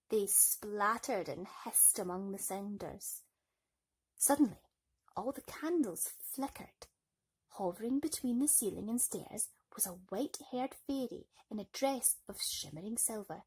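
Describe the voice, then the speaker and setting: A woman's voice: medium pitch, breathy edge. A woman reading children's bedtime stories expressively, with playful, nurturing asides to young listeners. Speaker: bedtime story reader.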